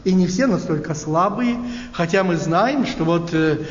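A man preaching a sermon in a halting, unhurried way, often asking his listeners rhetorical questions. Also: sits high, expressive, faint music behind. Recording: echoey hall, dull lo-fi sound.

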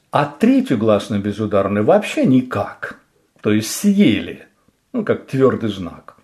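A man teaching pronunciation in a scripted lesson, speaking slowly and deliberately and stressing vowels for demonstration. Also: mid-range voice, mellow. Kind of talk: scripted instructional narration.

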